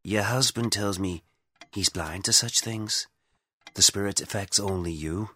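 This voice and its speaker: An actor playing a solemn, dry-spoken exorcist priest in an audio drama, husky, measured, formal and reserved. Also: male voice, on the low side, bright, forceful tone, full character voice. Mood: probing, calm.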